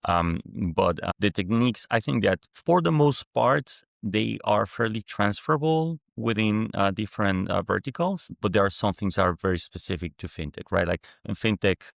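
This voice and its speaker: A man in his forties from Mexico City with a Mexican Spanish accent, a non-native English speaker, answering interview questions in a halting way with many restarts. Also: on the low side, lively intonation, gravelly, dry recording, clean studio sound. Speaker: guest founder. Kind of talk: conversational interview.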